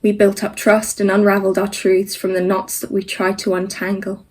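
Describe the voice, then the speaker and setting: A woman's voice: medium pitch, monotone, moderate pace, a little mellow. A young woman, an actor, speaking in a sincere, heartfelt way for a personal video recording.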